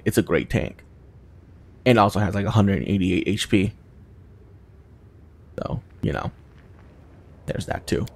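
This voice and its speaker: A man's voice: fairly low pitch, vocal fry, darkish tone. A man casually commenting on his video game run, with chatty and exasperated asides. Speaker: gaming channel host.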